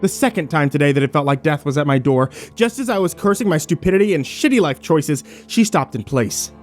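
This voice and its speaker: A man's voice: fairly high pitch, expressive, brisk, close to an everyday voice. A man playing a shaken, bitter survivor who tells his own story in a grim horror audio drama. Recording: music bed under the voice.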